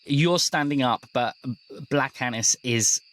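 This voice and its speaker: A man's voice: mid-range voice, slow pace, a bit bright, rough recording. A man narrating an improvised tabletop roleplaying game as game master.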